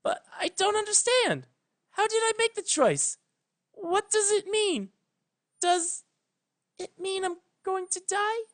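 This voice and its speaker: A man voicing a frightened, pleading young female pony princess in a breathy storybook reading. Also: very high-pitched, very animated, slow, pauses often, fairly bright tone, voice pushed up, full character voice.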